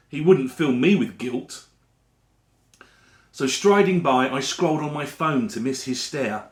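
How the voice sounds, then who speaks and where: A man performing a rhyming dramatic monologue in a theatrical, deliberate storytelling manner. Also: mid-range voice. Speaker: actor reading a monologue. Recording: roomy echo around the voice.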